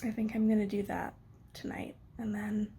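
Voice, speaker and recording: A young woman vlogging at home, chatty and soft-spoken, sounding sick, sniffly and nasal. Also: medium pitch, flat delivery, normal speed, a little hiss or hum. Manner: soft-spoken, chatty, often trailing into whispers. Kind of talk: casual chat to camera. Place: home studio.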